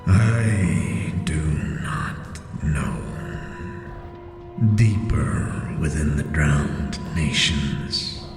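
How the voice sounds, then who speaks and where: An ancient-sounding cursed stone spirit, solemn and mournful, rumbles extremely low in an audio drama. The words come very slowly, with grave pauses, in a long echoing reverb over music. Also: male voice, animated, full character voice. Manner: slow, halting, grave delivery with long pauses. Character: cursed stone-faced spirit, solemn, sorrowful former community leader.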